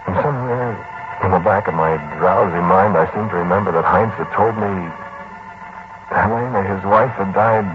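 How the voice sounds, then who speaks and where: An actor playing a pensive, polite student lodger in a 1940s radio drama, speaking in a measured, brooding way. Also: male voice, lowish voice. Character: pensive male student lodger recounting an eerie memory. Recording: tinny, band-limited 1940s radio recording with music.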